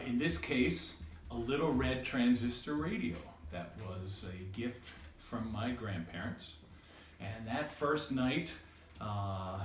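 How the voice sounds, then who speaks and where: A singer-songwriter man casually introducing his song in a halting, reminiscing way. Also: lowish voice, roomy sound, distant mic, slight background noise.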